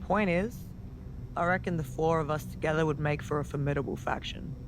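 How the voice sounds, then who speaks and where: A wry, conversational woman wrestler, the loyal sidekick who speaks frankly and teases. It sounds like game cutscene dialogue, with clear noise in the background. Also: low-pitched, brisk. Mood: teasing, curious. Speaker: tag team partner.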